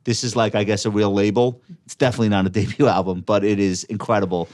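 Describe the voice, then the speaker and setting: A man's voice: on the low side, flat delivery, fast talker, a little mellow. A man hosting a casual podcast panel, leading the talk in a loose, joking way and swearing now and then. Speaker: podcast host.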